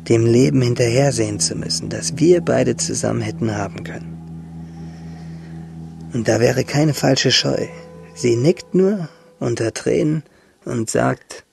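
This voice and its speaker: An actor playing a wistful, tender young shipwrecked seaman in a radio drama monologue, intimate and halting, with a husky voice. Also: mid-range voice, no room sound, full character voice. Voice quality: husky, gravelly, breathy.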